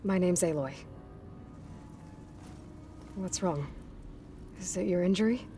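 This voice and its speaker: An actress playing a determined young huntress who asks direct, probing questions in a game cutscene. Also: female voice, lowish voice, takes it slowly, dark tone, a little hiss or hum. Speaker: game protagonist. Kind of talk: video game cutscene acting.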